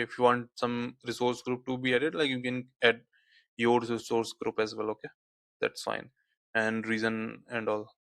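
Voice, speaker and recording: A man's voice: mid-range voice, pushed and bright, dry recording. Hindi-speaking guy doing a screen tutorial, says okay like a lot, dead dry mic. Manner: frequent "okay, like" fillers. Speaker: tutorial instructor. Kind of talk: screen-recorded software tutorial.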